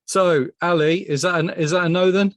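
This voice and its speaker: A man's voice: high voice, steady tone, brisk, a bit bright, dry recording, studio quality. A man hosting a casual panel podcast, steering the discussion between the panellists. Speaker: podcast host.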